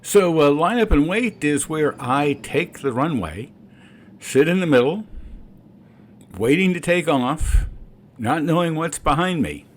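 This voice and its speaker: A man's voice: fairly high pitch, expressive, measured pace. A man who is a private pilot, explaining his flight and making radio calls. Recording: cockpit and radio noise.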